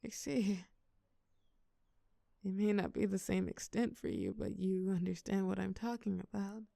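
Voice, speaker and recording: A woman's voice: mid-range voice, expressive, measured pace, gravelly, mellow, dry recording. A young woman playing a shy, tender college girl confiding in a close friend, hesitant yet playful, in an intimate audio roleplay. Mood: vulnerable, hesitant. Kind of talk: intimate scripted audio roleplay, one side of a conversation.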